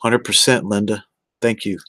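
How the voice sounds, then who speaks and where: A man's voice: fairly low pitch, steady tone, normal speed, bright, forceful tone, dry recording. An American man in his forties chatting casually on a livestream, rambling and addressing viewers one by one. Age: 40s. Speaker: live streamer.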